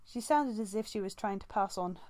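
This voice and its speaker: A woman's voice: mid-range voice, a little fast, a little mellow. A woman narrating a novel as an amateur audiobook reader, reading from the text.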